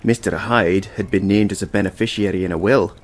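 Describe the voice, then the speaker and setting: An actor playing a measured, inquisitive Victorian lawyer in a stage drama, formal, deliberate and dryly witty. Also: male voice, sits low, steady tone. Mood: thoughtful, intrigued. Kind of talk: theatrical stage drama acting.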